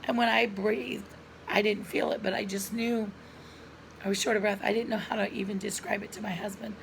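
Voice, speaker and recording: A middle-aged woman vlogger talks straight to her viewers in an earnest, chatty way. Her voice is hoarse and gravelly with a lot of vocal fry, and she keeps breaking off to cough. She is recorded at home on a camera mic, with faint room ambience behind her. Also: medium pitch, flat delivery, a little fast, slight background noise, low-quality recording. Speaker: lifestyle vlogger. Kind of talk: casual personal storytelling to camera.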